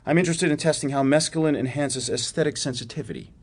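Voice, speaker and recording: An actor playing a wry, probing academic research professor in a radio drama, with a dryly sardonic, precise way of talking. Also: male voice, a little high, quick pace. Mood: wry, enthusiastic. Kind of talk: radio theatre acting, dramatic dialogue.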